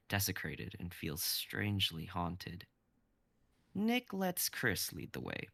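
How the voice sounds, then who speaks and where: Gravelly low male narrator. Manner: even, descriptive, with stage directions. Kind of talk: reading a script aloud.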